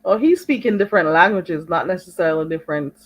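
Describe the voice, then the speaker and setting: A woman's voice: fairly low pitch, expressive, quick pace. A woman hosting a casual chat, friendly, asking long rambling questions. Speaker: host.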